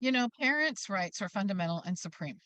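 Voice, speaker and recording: A woman's voice: medium pitch, brisk, no echo, rough recording. A middle-aged American woman speaking as an interview guest, making an emphatic advocate's case and acting out quotes as she tells stories. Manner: emphatic, animated storytelling with acted-out quotes. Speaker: interview guest, parents' advocacy group leader. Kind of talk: passionate advocacy talk in an interview.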